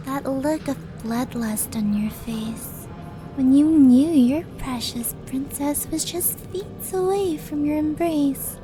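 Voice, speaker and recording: A woman acts out a flirtatious, cruel demon princess with a high-pitched voice. She takes her time, taunting with theatrical, mock-sweet relish. Clear music plays behind her in the style of an ASMR audio drama. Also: takes it slowly, rough recording. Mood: obsessive, wistful. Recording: music under the voice.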